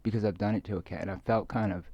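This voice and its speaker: Low gravelly guy rambling casually, keeps stumbling and trailing off, kinda muffled lo-fi audio. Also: fairly even intonation, warm tone, dry recording. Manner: hesitant, halting, trailing off, often repeating words. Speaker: video creator speaking to viewers.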